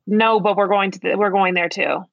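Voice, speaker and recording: A woman's voice: medium pitch, fairly even intonation, normal speed, vocal fry, no room sound, dull audio. A woman co-hosting a podcast in casual conversation, reacting in a gushing, informal way. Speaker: podcast co-host. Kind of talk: casual chat.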